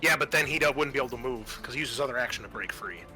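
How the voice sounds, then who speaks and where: A man with a bright, forward voice runs a tabletop roleplaying game as game master. He talks casually, working through rules aloud and narrating what happens. Music plays faintly behind him. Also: mid-range voice, rapid-fire. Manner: casual, explanatory, thinking aloud. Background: background music.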